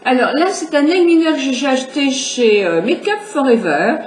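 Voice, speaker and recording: A woman's voice: sits high, lively intonation, moderate pace. A middle-aged woman with a slight cold, chatting to viewers as she demonstrates her makeup step by step. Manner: chatty, explanatory, addresses viewers directly. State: has a cold. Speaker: makeup tutorial host. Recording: echoey room with faint background hiss.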